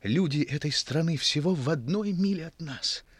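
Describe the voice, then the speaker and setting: Wistful village teacher, husky medium voice with expressive swings, solemn radio-drama delivery. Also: male voice, fairly high pitch, moderate pace, no echo. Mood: solemn wonder. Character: earnest, wistful village schoolteacher, gentle with children. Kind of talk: 1960s Soviet radio drama acting.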